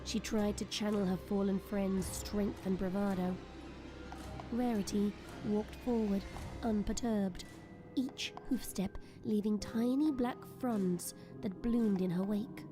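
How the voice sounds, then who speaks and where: A woman's voice: mid-range voice, fairly even intonation, slow pace. Woman narrating drama over prominent music. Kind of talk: scripted dramatic story narration.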